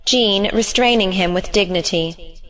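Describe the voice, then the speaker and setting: A woman's voice: medium pitch, unhurried, fairly bright tone, faint music behind, poor audio. A woman narrating the stage directions of an audio play in a scripted reading style.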